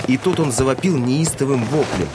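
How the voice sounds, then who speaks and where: A man's voice: mid-range voice, moderate pace, heavy background noise, close to an everyday voice. A man narrating a literary audio drama in a scripted, storytelling style. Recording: music under the voice.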